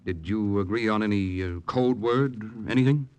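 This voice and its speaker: An actor playing a calm, resolute American secret agent lieutenant, telling his wartime story in a measured, earnest 1940s radio drama style. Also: fairly low pitch, clean tone, natural voice. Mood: keen, businesslike. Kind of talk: 1940s radio acting, first-person dramatic narration. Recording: 1940s radio recording, music underneath. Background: dramatic music.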